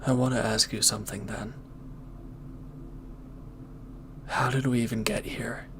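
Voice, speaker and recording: A man playing a former villain turned hesitant, conflicted lover, speaking earnestly and haltingly in an intimate audio roleplay. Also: mid-range voice, little pitch movement, noisy background, close to an everyday voice. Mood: hesitant, anxious.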